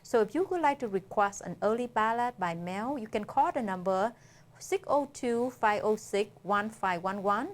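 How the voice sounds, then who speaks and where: Halting woman host. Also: mid-range voice, lively intonation. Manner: halting, with repeated words. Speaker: interviewer and host. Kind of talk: community interview and public announcements.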